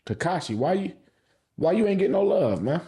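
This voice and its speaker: A man's voice: sits high, sing-song, brisk, warm tone. A man hosting a casual anime review, talking in heavy slang and profanity, repeating phrases for emphasis. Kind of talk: casual hype review commentary.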